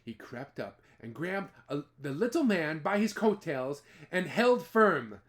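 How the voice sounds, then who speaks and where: A man's voice: on the high side, big pitch swings, measured pace. A man as storyteller, narrating a children's folktale expressively with dramatic exclamations and playful asides. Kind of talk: expressive children's storytelling, part read and part ad-libbed.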